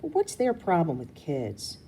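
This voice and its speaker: A woman voicing an excitable, gushing young girl who whines and sighs in a storybook reading. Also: fairly low pitch, measured pace, a little hiss or hum, exaggerated voice. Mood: pouting, defiant. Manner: sighing, whining, exclaiming.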